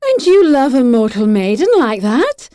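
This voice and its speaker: An actress playing a proud, alluring young Siren in an audio drama, speaking in an imperious, deliberate and dramatic way. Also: female voice, fairly high pitch, sing-song, warm tone, no echo, studio quality. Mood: cool, curious.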